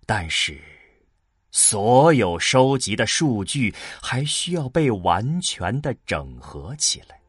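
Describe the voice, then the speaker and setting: A man narrating a science-fiction audio drama in a solemn, measured, dramatic way. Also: fairly low pitch, sing-song, slightly rough, no echo, clean studio sound, heavily acted. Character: solemn storyteller of a cosmic tale. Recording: music behind the voice. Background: music.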